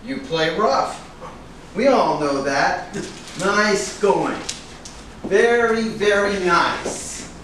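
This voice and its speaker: Echoey noisy room, a man playing a fretting pushy agent, high-pitched, halting, stagey drama delivery. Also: expressive, fairly bright tone, recorded from a distance, exaggerated voice. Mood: accusing, worried. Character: pushy, fretting male talent agent, accusing then flattering. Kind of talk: staged play reading. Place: room at a live staged reading.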